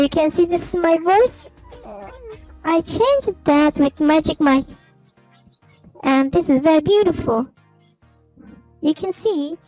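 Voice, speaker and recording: A high, filtered young woman's voice, as a man speaks through a voice changer app. It sounds set back from the mic, with faint music under it, and the talk stays casual and plain. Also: darkish tone, dry recording, distant mic, highs cut off. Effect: girl voice changer filter.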